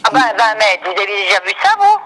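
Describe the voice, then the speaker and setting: A woman's voice: mid-range voice, sing-song, a little fast, vocal fry, fairly bright tone. A woman working as a shopkeeper, chatting casually on the telephone in a matter-of-fact, dismissive way. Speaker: fishing shop keeper. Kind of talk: casual phone conversation. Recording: reverberant phone call, radio-like sound.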